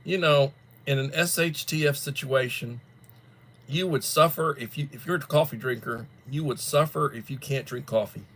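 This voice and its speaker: A man hosting a casual livestream, chatting to viewers while still stuffed up and coughing from the flu, in a rambling, drawn-out way. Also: medium pitch, fairly bright tone. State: congested, coughing after flu. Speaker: livestream host. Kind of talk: casual chat greeting viewers.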